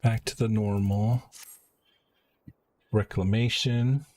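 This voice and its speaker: Low-voiced man streaming a game. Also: fairly even intonation, slow, darkish tone. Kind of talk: casual commentary and reading game dialogue aloud.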